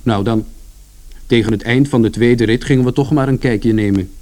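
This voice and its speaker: A chatty, wry son telling his own story in a radio play, acted by a man. His voice is mellow and mid-pitched, his delivery rambling and matter-of-fact. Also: moderate pace, close to an everyday voice. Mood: matter-of-fact recounting. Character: chatty, wry working-class son recounting misadventures. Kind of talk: radio play first-person narration.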